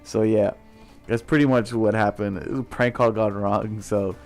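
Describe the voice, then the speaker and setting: A man's voice: on the low side, steady tone, normal speed, vocal fry, soft background music. A young adult man telling a casual story, slangy, rambling and sweary.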